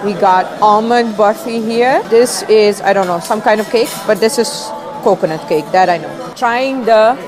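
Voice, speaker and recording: A young woman reviewing food on camera for a vlog, expressive as she tastes and talks. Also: medium pitch, normal speed, over music. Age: young adult. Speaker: food and travel vlogger. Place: busy restaurant dining room. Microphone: clip-on lapel mic. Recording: restaurant crowd chatter behind.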